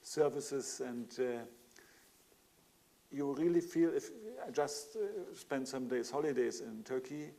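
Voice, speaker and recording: A German-accented man on a discussion panel, his speech halting and full of restarts and filler sounds. His voice is gravelly and mellow. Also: mid-range voice. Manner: hesitant, many fillers and restarts.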